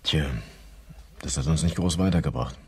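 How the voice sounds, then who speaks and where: An actor playing a matter-of-fact male local police inspector in a German radio crime drama, with a husky voice. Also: normal speed, exaggerated voice. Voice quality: husky, very deep.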